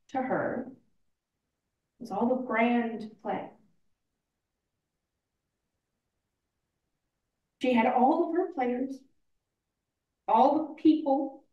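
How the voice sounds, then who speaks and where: A slow, higher-pitched actress playing a woman painter in a stage drama, heard in an echoey room. She is reflective and measured, pausing between short phrases as she looks back. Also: darkish tone. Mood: musing, knowing. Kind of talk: staged play reading, dramatic monologue. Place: indoor room, live play reading. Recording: echoey room, far from mic.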